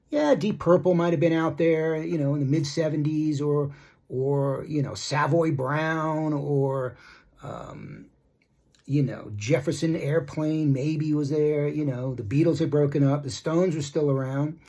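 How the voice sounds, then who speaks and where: A middle-aged man giving a casual opinion monologue as a rock music commentator, blunt and critical, rambling with halting, repeated starts. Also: fairly high pitch, gravelly, mellow. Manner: rambling, repetitive, halting asides.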